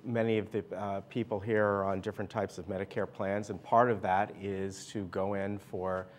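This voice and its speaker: A man's voice: lowish voice, level tone, normal speed. A man hosting an interview show, asking rambling, chatty questions. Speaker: talk show host. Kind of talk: interview host.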